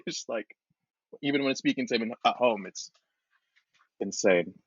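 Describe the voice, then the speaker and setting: A man's voice: mid-range voice, a little slow, slightly rough, dry recording. Podcast host, male, giggly storyteller, muffled dry mic. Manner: laughs often while telling stories. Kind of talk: casual chat. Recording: dull, dry recording.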